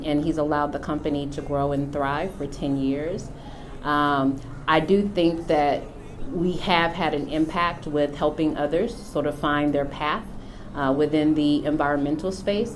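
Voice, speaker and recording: A middle-aged woman from the United States, a company founder, speaking about her work and faith in an unhurried, reflective way with frequent pauses. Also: sits low, slight background noise. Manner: unhurried, thoughtful, many filler pauses. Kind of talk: reflective personal testimony.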